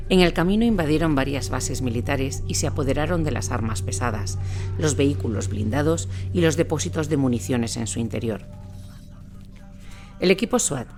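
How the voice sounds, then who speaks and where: Man, narrator, scripted documentary delivery, mid-pitched voice, light music bed.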